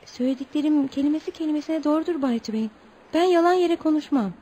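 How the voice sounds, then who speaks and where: A woman's voice: high-pitched, fast talker, warm tone, a little hiss or hum, natural voice. An actress playing an earnest, naive and proud young woman telling her story politely in a radio play. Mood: earnest, apologetic. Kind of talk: radio theatre acting.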